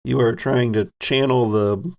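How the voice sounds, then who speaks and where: A man narrates a tabletop game as its game master, with noticeable vocal fry. His pitch sits in the middle, and he moves between improvised storytelling and playful banter. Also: steady tone, measured pace, a little mellow. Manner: playful, conversational.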